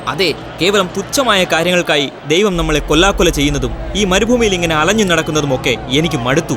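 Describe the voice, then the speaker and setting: A man voicing a fed-up, exasperated desert wanderer who grumbles in a dubbed animated Bible drama. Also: a little high, a little fast, noisy background, natural voice.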